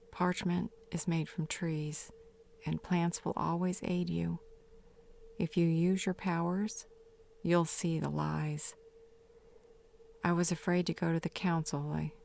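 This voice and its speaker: A woman playing a grieving, tender fae mother in an audio drama, sorrowfully confessing her regrets in halting, half-whispered speech. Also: low voice, vocal fry, darkish tone, slight background noise. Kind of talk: dramatic audio roleplay monologue.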